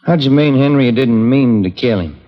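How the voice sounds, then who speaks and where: A man's voice: medium pitch, a little fast, close to an everyday voice. An American man acting a patient, plain-spoken police detective prompting a suspect in a 1950s radio drama, on a dull, noisy old recording. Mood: probing.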